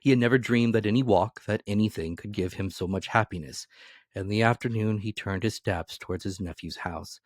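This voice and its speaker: A man narrating a classic novel as a dramatic reading, expressive and animated. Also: lowish voice, a little fast, dry recording, clean studio sound.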